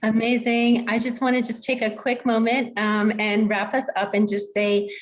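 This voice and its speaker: A woman's voice: fairly high pitch, flat delivery, normal speed, a little hiss or hum. A woman giving informal closing remarks as an event organizer. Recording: slightly dull lo-fi call.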